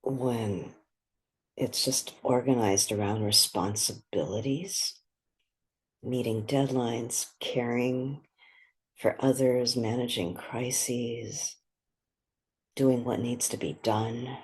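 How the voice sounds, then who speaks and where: A meditation guide speaks very slowly in a soft, husky, breathy voice. The delivery is gentle and unhurried, with short phrases set apart by long pauses, guiding the listener step by step. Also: mid-range voice, fairly even intonation.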